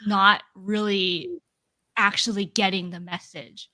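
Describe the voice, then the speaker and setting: A woman chatting casually as a book panelist, phrasing her thoughts haltingly with lots of filler words. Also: mid-range voice, little pitch movement, bright, forceful tone. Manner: hesitant phrasing with frequent "like". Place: online group live stream.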